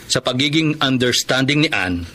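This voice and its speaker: A man's voice: medium pitch, lively intonation, moderate pace, sharp tone. A man acting a hardworking office worker and live-in boyfriend in a Tagalog radio drama, conversational and emotive. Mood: relaxed. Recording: music bed behind the voice.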